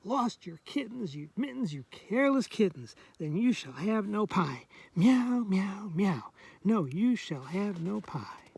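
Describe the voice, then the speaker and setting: Storybook mother cat, acted by a man: high voice, strict yet fond, drawn-out exclaiming rhymes. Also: big pitch swings, creaky, a little mellow, husky, exaggerated voice. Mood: scolding. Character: scolding mother cat of three kittens, strict but fond.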